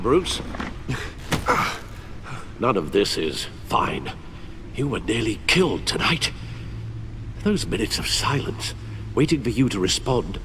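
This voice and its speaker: An actor playing a devoted, anxious elderly British butler in a video game, speaking formally and with emotion. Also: male voice, mid-range voice, a bit bright, a little hiss or hum. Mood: upset, anguished. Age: older. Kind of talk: dramatic video game acting. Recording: music under the voice.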